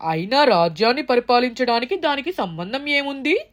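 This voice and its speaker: A woman's voice: a little high, expressive, a little fast, natural voice. A woman voicing a proud, doubting young prince in a children's story. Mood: haughty. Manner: haughty, questioning.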